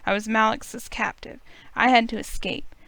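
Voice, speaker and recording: Woman gamer, dramatic reading of visual novel text with wry asides, higher voice. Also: normal speed, gravelly, no room sound. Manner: expressive narration with wry asides.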